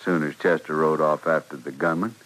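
An actor playing a blunt, candid gunman of the Old West in a 1950s radio western, halting and plainspoken. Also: very deep voice, steady tone, fairly bright tone. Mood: subdued confession. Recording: vintage 1950s radio drama recording.

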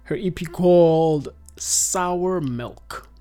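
A man's voice: fairly high pitch, very slow, smooth. Laid-back young man hosting a podcast. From South Africa. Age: 20s. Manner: relaxed, rambling with many fillers. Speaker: podcast host. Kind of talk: casual chat.

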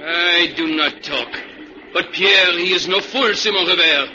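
High, bright trapper. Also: male voice, measured pace, exaggerated voice. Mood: stubborn, defiant. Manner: broken English, speaks of himself by name. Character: proud, stubborn male backwoods fur trapper Pierre. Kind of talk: old-time radio drama acting. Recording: muffled, hissy old radio recording.

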